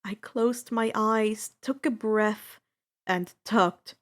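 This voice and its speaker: A woman reading a first-person adventure story aloud as an expressive, dramatic narrator. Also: fairly high pitch, drawn-out words. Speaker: audiobook-style fan fiction reader.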